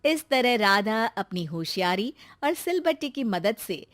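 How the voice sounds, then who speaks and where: High-pitched, animated woman storyteller. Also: unhurried. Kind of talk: scripted story narration.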